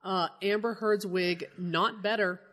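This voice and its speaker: A woman co-hosting a comic book podcast in casual chat, a little croaky from a cold, chatty and laughing easily. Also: mid-range voice, fairly even intonation, unhurried, a bit bright. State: getting over a cold, slightly croaky. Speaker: podcast co-host.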